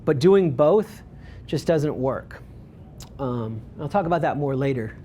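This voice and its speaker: A man giving a talk about his photography work, speaking in a relaxed, rambling way with frequent ums and restarts. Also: medium pitch, unhurried, slight background noise. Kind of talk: presentation with audience questions.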